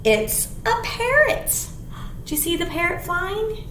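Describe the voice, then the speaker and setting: A middle-aged woman reading aloud as a children's storytime librarian, with an expressive, sing-song delivery and simple questions to the children. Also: extremely high pitch, slow. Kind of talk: animated read-aloud to young children. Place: small indoor room. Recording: roomy echo, faint background noise.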